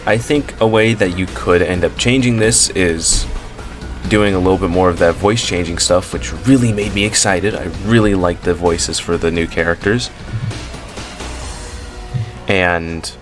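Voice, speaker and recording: Low-voiced man giving casual commentary. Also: steady tone, unhurried, music in the background. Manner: rambling, self-correcting, many fillers. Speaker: commentary channel host.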